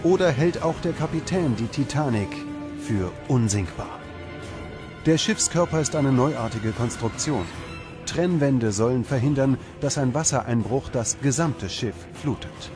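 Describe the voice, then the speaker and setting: Guy narrating a documentary, measured n dramatic, mid pitch, music behind him. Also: animated. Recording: music under the voice. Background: background music.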